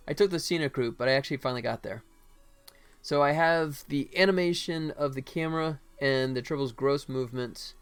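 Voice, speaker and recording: A man's voice: mid-range voice, fairly bright tone, clean tone. Man chatting casually on a livestream, music behind. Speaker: livestream host, game animator. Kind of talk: casual livestream chat while working, thinking aloud.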